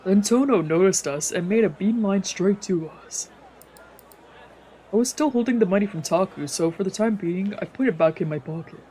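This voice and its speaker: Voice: mid-range voice, expressive, moderate pace, dark tone, some chatter behind, slight background noise, low-quality recording. A streamer narrating a visual novel aloud in a scripted storytelling style. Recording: music behind.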